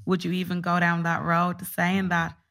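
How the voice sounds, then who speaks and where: A woman's voice: fairly low pitch, monotone, moderate pace, fairly bright tone. A woman from the Caribbean with a West Cork accent, a guest talking earnestly and searching for words. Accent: West Cork Irish. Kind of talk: casual chat.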